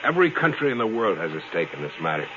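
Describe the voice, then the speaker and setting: Low-voiced, cautious space explorer, old radio drama. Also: male voice, sing-song, fast, background noise. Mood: stern, earnest. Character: principled, cautious male space explorer, moralizing to his partner. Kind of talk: 1950s radio drama acting. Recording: muffled vintage 1950s radio recording.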